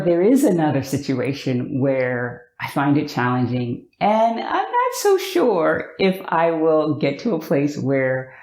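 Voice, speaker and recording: An upbeat, expressive American woman from Virginia, talking to the camera in a vlog and drawing out words for emphasis. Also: low voice. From Virginia, USA. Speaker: vlogger. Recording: echoey room.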